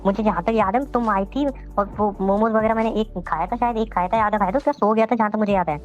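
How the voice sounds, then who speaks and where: A man acting a flustered, hungover young boyfriend in a phone-call drama, questioning repetitively, his voice pitched up and sped up. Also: steady tone, quick pace. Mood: disbelieving. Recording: muffled lo-fi call audio. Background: music.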